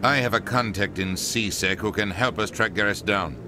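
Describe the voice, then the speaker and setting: A man's voice: fairly low pitch, normal speed, natural voice. A man playing a stern, pragmatic middle-aged politician in a video game, curt and authoritative. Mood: curt, businesslike. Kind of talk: video game cutscene acting. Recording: music behind game dialogue.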